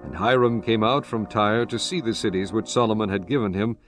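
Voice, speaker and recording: A male narrator with a fairly low voice reads scripture solemnly. His delivery is measured and declamatory, with soft music underneath. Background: music bed.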